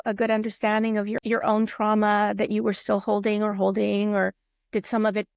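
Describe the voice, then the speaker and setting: A woman hosting a podcast, interviewing a guest in a gentle, affirming way with loose, searching phrasing. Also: fairly high pitch, smooth, no echo, studio quality. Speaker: podcast host.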